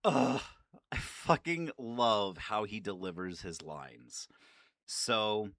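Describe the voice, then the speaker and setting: A man's voice: lowish voice, very animated, slow pace, noisy background. A man giving casual, nerdy commentary as a gaming video creator, rambling and swearing with enthusiasm. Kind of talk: casual, nerdy commentary on favorite game lines.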